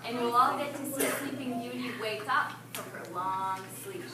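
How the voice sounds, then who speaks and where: A woman's voice: a little high, moderate pace, a loud crowd. A woman telling a story to young children as the narrator of a puppet show, in an instructive way. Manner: instructive, prompts listeners with questions. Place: public library event room. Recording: echoey room from far off, noisy.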